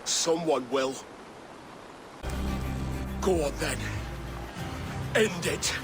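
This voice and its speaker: A man's voice: fairly high pitch, very slow. An actor playing a proud, fatalistic thane in a video game, solemn and defiant in his delivery. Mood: defiant, resigned. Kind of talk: dramatic video game acting. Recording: dramatic music behind the voice.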